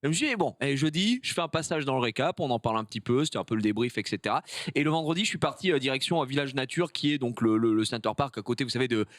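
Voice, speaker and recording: A man's voice: medium pitch, lively intonation, rapid-fire. A man chatting and bantering casually as a panelist on a talk show.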